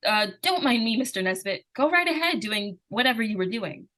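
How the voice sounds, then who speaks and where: Woman playing a high, lively, inquisitive little girl. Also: a little fast. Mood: polite, nosy. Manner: hesitant, artless, persistently questioning. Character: inquisitive young girl, innocent-seeming, probing, easily frightened. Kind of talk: 1940s radio suspense acting.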